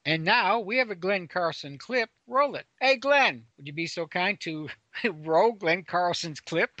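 A man's voice: high-pitched, animated, a little slow, sharp tone, no room sound, lo-fi sound. A man hosting, announcing links between segments in an informal show. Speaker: show host.

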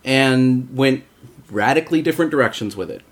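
A man's voice: mid-range voice, brisk. A man talking casually as a voice actor guest in a round-table chat, informal and rambling, padding his thoughts with "you know".

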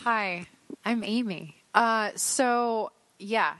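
A woman's voice: fairly high pitch, drawn-out words, fairly bright tone, no echo, low-quality recording. A woman guesting on a podcast, shy and hesitant, with many fillers and restarts. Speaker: guest, host's girlfriend. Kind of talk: casual podcast chat.

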